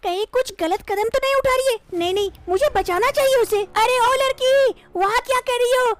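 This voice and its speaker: A woman's voice: very high voice, moderate pace, pitched above the performer's own voice. A woman voicing a kind young woman who speaks with concern and urgency in a cartoon story. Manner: concerned, calling out.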